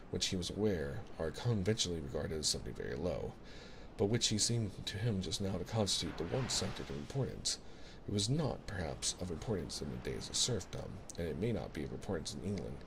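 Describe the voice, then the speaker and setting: Very deep, creaky-voiced man reading a bedtime story. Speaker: audiobook-style reader, ASMR host. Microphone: close binaural ASMR microphone. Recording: noisy ambience of recorded ocean waves. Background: ocean sounds.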